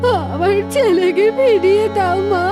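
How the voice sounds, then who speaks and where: Woman playing a high-pitched, frightened village wife. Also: normal speed, over music. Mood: desperate pleading. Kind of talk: cartoon voice acting.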